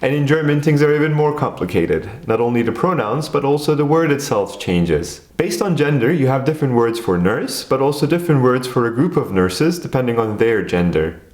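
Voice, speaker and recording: A man from Turkey, a native Turkish speaker, calmly narrating a scripted explainer video. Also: medium pitch, a little fast, hardly any pauses, roomy sound. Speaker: video essay host.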